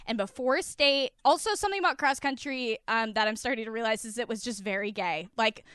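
Young American woman, casual comic storytelling, animated and giggly, slightly high pitch, crisp clear voice. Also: a little fast, no room sound, studio quality. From United States, small rural town. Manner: animated, giggly, dramatizes quoted reactions.

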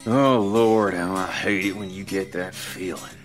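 A man voicing a crude, teasing trucker in his fifties who mutters and drawls in a low country manner. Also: steady tone, a little slow. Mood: hushed. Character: gruff, crude older male trucker, teasing.

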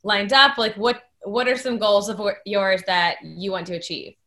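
A woman's voice: medium pitch, steady tone, moderate pace, sharp tone, roomy sound. A woman co-hosting a podcast and casually interviewing a guest in a chatty, playful, reactive way.

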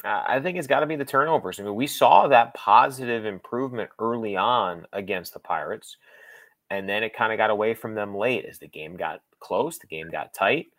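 A low-pitched man speaks as a guest sports analyst in a close, dry recording. His delivery is conversational and halting, doubling back on phrases as he thinks aloud. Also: expressive, fairly bright tone, no room sound.

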